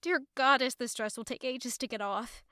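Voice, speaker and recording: Bright, anxious young noblewoman played by a woman. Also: fairly high pitch, moderate pace, slight background noise. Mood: anxious, resolute. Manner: dramatic, inward monologue. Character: anxious young noblewoman, self-doubting and quick-thinking. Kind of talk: audio drama narration and acting.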